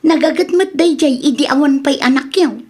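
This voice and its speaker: A woman's voice: high-pitched, normal speed, a little mellow, natural voice. A woman playing a nosy, chatty villager in a comedy radio drama, with an Ilocano accent. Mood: prying, opinionated.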